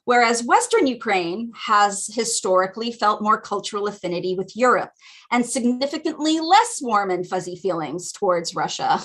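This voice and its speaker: An American woman lecturing over a video call, her voice sitting a little high for a woman. She explains things in a thoughtful, academic way and pauses often on ums. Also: fairly bright tone. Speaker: guest lecturer. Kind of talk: academic lecture at a community teach-in.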